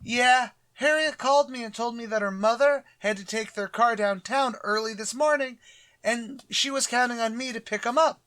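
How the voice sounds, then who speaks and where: An actor playing an excitable, hapless teenage schoolboy in a radio comedy, eager and naive. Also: mid-range voice, moderate pace, natural voice. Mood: nervous, eager. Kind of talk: old-time radio comedy acting.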